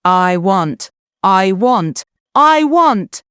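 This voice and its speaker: A woman voicing a greedy, bossy young princess who shouts her demands in a shrill voice. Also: sing-song, very slow, smooth, no room sound, studio quality, full character voice. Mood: demanding.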